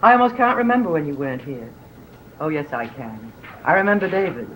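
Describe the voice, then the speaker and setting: An actress playing a gracious, affectionate matriarch in a 1960s television soap, on a noisy old soundtrack. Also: female voice, low-pitched, big pitch swings, a little fast. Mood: fond, gracious.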